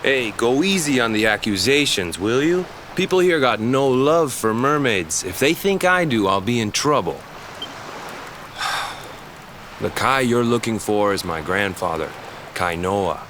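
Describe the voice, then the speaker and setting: A man's voice: medium pitch, animated, measured pace, slight background noise. An actor voicing an earnest young island fisherman in a video game, speaking in a plain, colloquial way. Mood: defensive. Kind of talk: scripted video game voice acting. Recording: loud game music behind the voice. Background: loud music.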